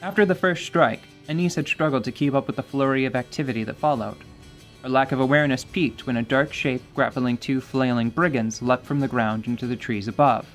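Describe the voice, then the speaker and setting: Guy narrating a fantasy adventure, measured, steady, soft guitar behind. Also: medium pitch. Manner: measured storytelling delivery. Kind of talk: scripted dramatic narration. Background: light music.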